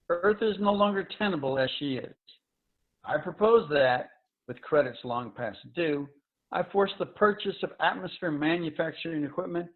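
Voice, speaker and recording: Casting: man as resolute sci-fi statesman, somewhat high-pitched, solemn and measured, heard over dull lo-fi call audio. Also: close to an everyday voice. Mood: firm, resolute. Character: grave, resolute male leaders of a dying future Earth. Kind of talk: dramatic reading of science fiction. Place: online video call reading.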